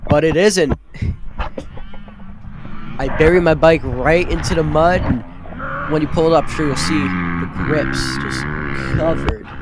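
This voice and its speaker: A man narrating his own dirt bike race in a relaxed, chatty, self-deprecating way, a bit sniffly from allergies. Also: a little high, measured pace, long pauses, over music, low-quality recording. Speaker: amateur dirt bike racer. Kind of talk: casual voice-over commentary on own race footage.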